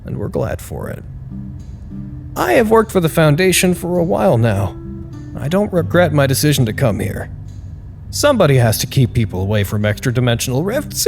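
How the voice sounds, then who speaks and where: An earnest, expressive site staffer. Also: male voice, fairly high pitch, creaky, full character voice. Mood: reflective, grateful. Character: earnest, candid male site staffer reporting to unseen superiors. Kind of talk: audio drama monologue as a spoken log.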